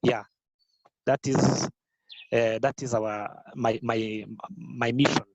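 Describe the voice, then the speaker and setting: A Rwandan man whose first language is Kinyarwanda, answering interview questions in careful, halting English with many fillers and restarts. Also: medium pitch, fairly even intonation, very noisy. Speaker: guest, business founder. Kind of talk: podcast interview.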